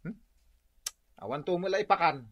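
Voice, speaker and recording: A man's voice: high voice, animated, normal speed, lo-fi sound. A man playing a lazy, stubborn, jobless husband in a radio comedy-drama, with an Ilocano accent. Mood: sly.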